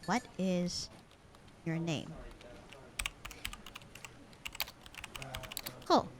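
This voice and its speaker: A woman playing a bored, sarcastic, slightly flirty secretary in a casual roleplay audio drama, with a deadpan, slangy delivery. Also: lowish voice, takes it slowly, background noise. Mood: dryly sarcastic. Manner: deadpan, slangy, unbothered drawl.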